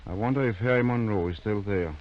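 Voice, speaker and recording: An actor playing a kindly, shrewd middle-aged town doctor in an old radio drama, with a hesitant, gentle manner. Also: male voice, on the low side, fast talker, slight background noise, natural voice. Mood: probing, genial. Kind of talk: 1930s-40s radio drama acting. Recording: dull lo-fi old radio recording.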